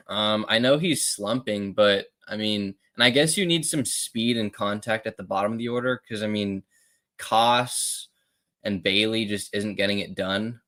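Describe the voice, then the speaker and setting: Hesitant low-voiced male host. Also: fairly bright tone. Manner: hesitant, many ums and restarts. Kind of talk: casual sports analysis.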